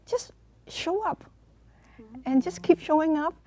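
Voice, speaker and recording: A woman's voice: extremely high pitch, lively intonation, a little slow, mellow. A woman guest on a panel talk show, speaking earnestly with some hesitant fillers.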